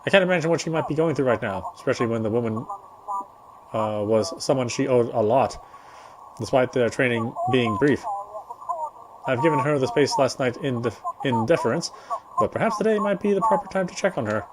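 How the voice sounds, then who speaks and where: A man's voice: medium pitch, lively intonation, brisk, background noise. A man reading visual novel narration aloud during a playthrough, sometimes stumbling and rereading a phrase. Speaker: gamer reading story text in a playthrough.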